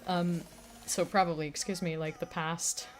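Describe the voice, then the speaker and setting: A woman streamer chatting casually with her viewers, rambling with many fillers, her throat still slightly raspy from an illness. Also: lowish voice, flat delivery, moderate pace, soft background music. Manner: rambling, full of fillers and restarts. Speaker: streamer and audio creator. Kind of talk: casual livestream chat.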